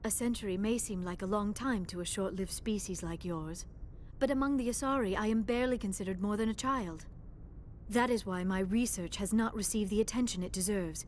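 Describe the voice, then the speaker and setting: A woman's voice: medium pitch, fairly quick, slight background noise, natural voice. A woman voicing an earnest, polite young alien scholar in a video game, speaking in a precise, formal way. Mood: earnest, intent. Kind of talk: video game dialogue acting.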